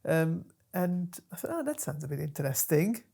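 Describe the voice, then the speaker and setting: A woman's voice: deep voice, big pitch swings, moderate pace, creaky, warm tone. A woman in her fifties, a bit nasal from a cold, chatting casually about her own story in a small studio, with plenty of ums. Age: 50s. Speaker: podcast host and guest. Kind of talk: casual interview chat.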